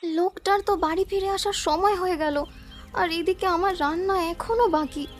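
A woman's voice: very high voice, a little fast, faint music behind. A woman voicing dutiful female figures in a Bengali family cartoon. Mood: apologetic.

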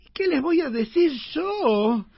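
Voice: high voice, lively intonation, unhurried, vocal fry, no echo, lo-fi sound, full character voice. An actor playing a cocky, stubborn tenement man in a comic radio play, slangy and emphatic, with an Argentine accent. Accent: Argentine, Buenos Aires slang.

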